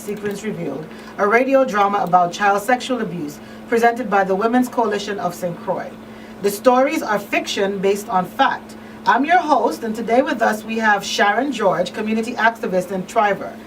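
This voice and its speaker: A woman hosting a live radio call-in show, effusive and repeating words for emphasis. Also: on the high side. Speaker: talk show host. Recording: distant mic, faint noise behind.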